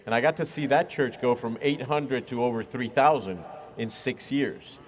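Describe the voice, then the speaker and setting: A man's voice: medium pitch, moderate pace, poor audio. A man who is a church minister, talking earnestly and reflectively in an interview, with frequent fillers. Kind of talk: conversational interview.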